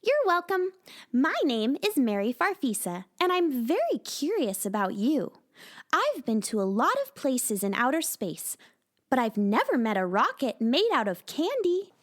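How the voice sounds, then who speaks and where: A woman playing a curious, friendly little space-travelling girl in a children's radio drama, polite and expressive. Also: high voice, measured pace.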